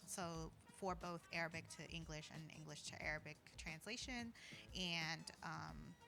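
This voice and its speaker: A woman's voice: deep voice, steady tone, measured pace, gravelly, pushed and bright, soft background music. A woman speaking earnestly as a community organizer and guest in a casual podcast conversation, often dropping in "you know" and "like".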